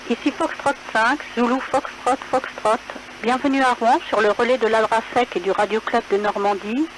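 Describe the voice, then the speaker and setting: A woman's voice: fairly high pitch, steady tone, moderate pace, background noise. A woman reading a scripted station identification for an amateur radio repeater.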